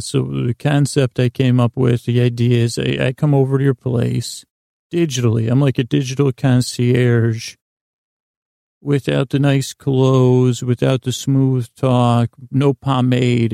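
A man with a creaky, gravelly voice hosting a bedtime podcast, rambling in a halting, self-deprecating, digressive way. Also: mid-range voice, dark tone, no echo, studio quality. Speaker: podcast host. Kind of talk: rambling bedtime-story podcast intro monologue.

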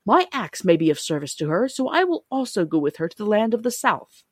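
A woman's voice: mid-range voice, sing-song, a little fast, slightly rough, no room sound, studio quality, voice pushed up. A woman voicing a tender-hearted tin woodman in a storybook, kindly and plain-spoken. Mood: agreeable. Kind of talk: storybook character reading.

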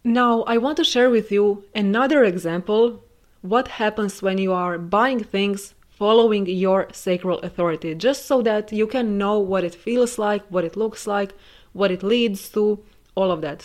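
Woman, non-native English speaker, podcast host, earnest, chatty, mid pitch. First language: not English. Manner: chatty, earnest, quotes her own reactions. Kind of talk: solo podcast teaching.

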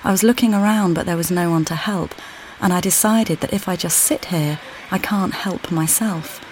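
An actress playing a weary, displaced mother who calmly guides the listener in a walking play, speaking plainly and directly to them. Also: fairly low pitch, normal speed, a little hiss or hum, close to an everyday voice. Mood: reflective. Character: displaced mother, weary and uncertain, far from home. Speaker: audio guide narrator. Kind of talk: immersive walking-play narration.

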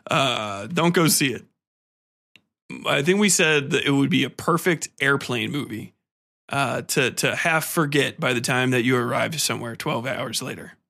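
A man's voice: mid-range voice, normal speed, vocal fry, sharp tone. A man hosting a solo podcast, talking casually in a profane, slangy, rambling way about films. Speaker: podcast host. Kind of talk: casual solo film review and rant.

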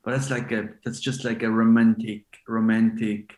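A man of forty, raised in Switzerland, talking in a relaxed, rambling way as a DJ guest, with many fillers and restarts. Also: fairly low pitch, moderate pace, reverberant. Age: 40s. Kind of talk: casual interview chat.

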